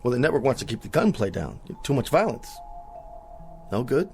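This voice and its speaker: An actor playing a vain TV cowboy star who is easily cowed, with an American accent, in a radio drama. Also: male voice, medium pitch, sing-song, fairly quick, gravelly, a little mellow, faint music behind.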